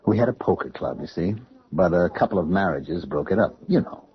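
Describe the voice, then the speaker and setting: A placating young man of radio drama, has a low voice with animated swings. He explains himself earnestly and evasively. The old broadcast sound is dull. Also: a little fast, breathy edge, full character voice. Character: evasive, pleading young man named Corey, eager to placate. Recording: muffled old radio recording.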